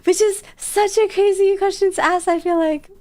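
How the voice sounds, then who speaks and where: A woman's voice: very high-pitched, steady tone, moderate pace, a little mellow. A woman streamer telling stories casually in a bubbly, playful, cutesy way. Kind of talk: casual storytelling chat on a livestream.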